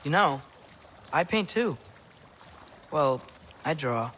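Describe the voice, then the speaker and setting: A man's voice: a little high, expressive, slow, a bit bright, slight background noise. A young male actor playing an earnest, curious, slightly awkward teenage boy in a video game, talking casually and hesitantly. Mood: shy, earnest. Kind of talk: naturalistic video game acting.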